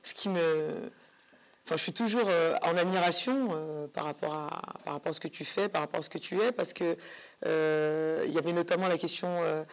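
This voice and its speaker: A woman's voice: low-pitched, a little fast. Hesitant woman hosting a talk show. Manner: hesitant, searching for words, repeating phrases. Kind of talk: conversational interviewing.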